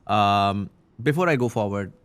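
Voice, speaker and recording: A man's voice: lowish voice, expressive, moderate pace, a bit bright, clear, resonant tone. A man hosting a podcast interview, chatty and teasing, switching between English and Hindustani. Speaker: podcast host.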